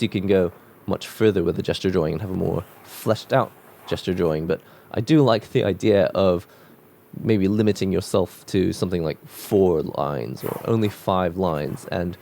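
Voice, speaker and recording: A man with a cold-croaked voice talking casually and reflectively while drawing, musing with loose restarts. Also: sits low, a little mellow. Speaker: art vlogger drawing on camera.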